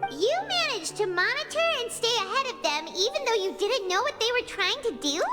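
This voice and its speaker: A woman's voice: very high voice, big pitch swings, a little fast, loud music behind the voice. A woman voicing a small, childlike, inquisitive fairy companion in a video game, speaking earnestly. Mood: curious.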